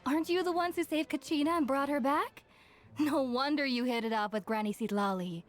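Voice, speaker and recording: A woman's voice: high voice, a little fast, natural voice. An actress playing a cheerful, chatty, teasing young tavern keeper woman in an anime-style game. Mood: friendly. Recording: music behind the voice.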